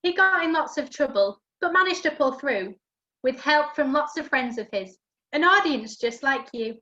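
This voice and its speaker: A woman's voice: high voice, lively intonation, normal speed, pushed and bright. A woman playing a chatty, good-natured young laundry lad who narrates a rhyming pantomime, on a lo-fi recording. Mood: chatty, cheerful. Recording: dull lo-fi sound.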